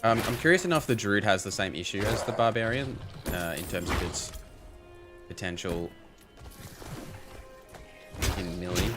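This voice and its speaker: A man with a hoarse, worn voice, casually commentating a game stream to his chat and thinking aloud with lots of fillers. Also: deep voice, animated, normal speed, long pauses. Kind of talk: casual live-stream commentary, chatting with viewers. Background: game music.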